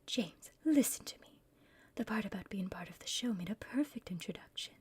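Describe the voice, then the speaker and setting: A woman's voice: medium pitch, animated, fairly quick, a little mellow, no echo. A woman playing a bossy, scheming female villain in a whispered ASMR roleplay, impatient and conspiratorial with her partner. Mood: exasperated, scolding. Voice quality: breathy whisper.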